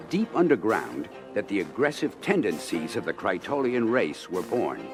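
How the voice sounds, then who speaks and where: Formal, expressive government minister. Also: male voice, on the low side. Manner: formal, measured, oratorical. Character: grave, dignified male government minister of space colony. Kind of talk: audio adventure record acting, briefing speech. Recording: vintage LP with music behind.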